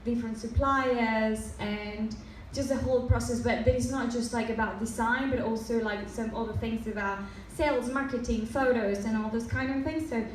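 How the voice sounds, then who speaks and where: A young woman from Mexico, a native Spanish speaker with a Mexican accent softened by Australian years, giving a casual design talk full of fillers and restarts. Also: a little high, fairly even intonation, normal speed, hardly any pauses. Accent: Mexican, with some Australian. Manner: many fillers and restarts, loose and chatty. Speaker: design presenter. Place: event room. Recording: roomy, distant, faint noise.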